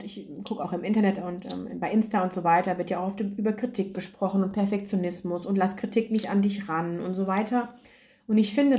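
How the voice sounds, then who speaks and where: A woman hosting a solo podcast as an academic writing coach, chatting casually with many fillers, her throat slightly hoarse. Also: mid-range voice, darkish tone.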